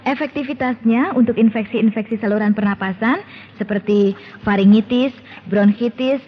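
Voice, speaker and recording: A woman's voice: fairly high pitch, normal speed, breathy edge. An actress playing a keen woman persuasively explaining a medicine in scripted radio advert dialogue. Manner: emphatic, persuasive. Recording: dull lo-fi radio, faint noise.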